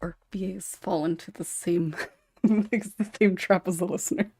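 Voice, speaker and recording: A woman's voice: lowish voice, steady tone, unhurried, a little mellow, dry recording, studio quality. A woman hosting a casual panel discussion, chatty and lightly teasing in manner. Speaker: podcast host and script writer.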